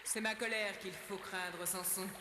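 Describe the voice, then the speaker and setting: A woman's voice: medium pitch, fairly even intonation, unhurried, noisy background, close to an everyday voice. A woman voicing a cruel, jealous evil queen in a French-dubbed fairy-tale cartoon, commanding and menacing. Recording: loud music under the voice.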